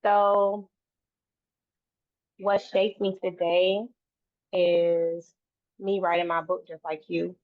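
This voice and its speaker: A woman speaks earnestly and a little low, often restarting her phrases. The sound is tinny and phone-like, with no room echo at all. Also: flat delivery, takes it slowly, pauses often. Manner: earnest, repeats and restarts phrases, faith-filled. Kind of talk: casual interview conversation. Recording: dry, tinny phone-like line.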